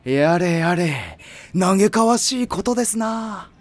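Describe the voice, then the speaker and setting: An actor playing an excitable, gullible teenage boy in an anime audio drama, melodramatic in both saintly politeness and yelling. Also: sits high, slow. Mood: serene, pious. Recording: music behind the voice.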